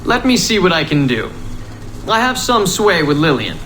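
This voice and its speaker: A man's voice: sits high, sing-song, moderate pace, fairly bright tone, poor audio. A man playing a breezy, self-assured scientist in theatrical audio drama, jaunty, suave and given to pontificating. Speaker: senior scientist. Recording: audible background ambient noise.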